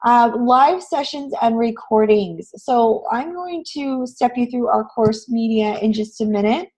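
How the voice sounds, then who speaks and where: A woman with a raspy voice, hoarse from a cold, teaching a live online class in an encouraging, conversational way. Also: a little high, animated, unhurried, darkish tone, poor audio. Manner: encouraging, addresses students with frequent questions. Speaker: online graphic design instructor. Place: online class session, screen shared.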